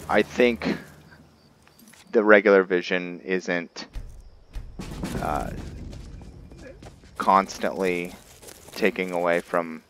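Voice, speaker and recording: A man's voice: deep voice, a bit bright, a little hiss or hum. A man giving casual roleplay commentary on a video game, often hesitant and trailing off mid-thought. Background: background music.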